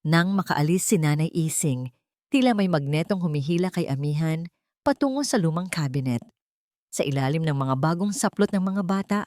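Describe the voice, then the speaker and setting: Low-voiced Filipino woman narrating a drama. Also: darkish tone, dry recording, clean studio sound. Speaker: audiobook narrator. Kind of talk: dramatic scripted story narration.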